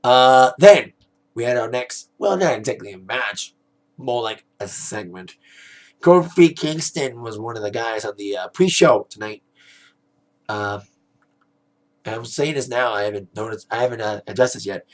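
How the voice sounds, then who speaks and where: A man with a raspy, worn-out voice giving a casual spoken review, rambling with plenty of "like" and "uh". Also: medium pitch, animated, normal speed. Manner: rambling, heavy on "like", "uh" and "you know". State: hoarse, voice fading after a DJ gig. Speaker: wrestling pay-per-view reviewer.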